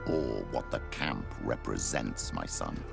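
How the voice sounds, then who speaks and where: An actor playing a solemn, paternal older bishop in a video game, grave and piously formal. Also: male voice, rumbling low, animated, a little slow, creaky, loud music behind the voice, full character voice. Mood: gently probing.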